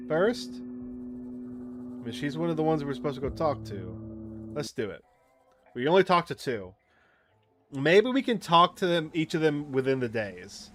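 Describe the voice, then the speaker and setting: A man reading a visual novel aloud as a let's player, stumbling now and then and adding casual commentary. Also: medium pitch. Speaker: video game let's player. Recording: clear background noise and ambience.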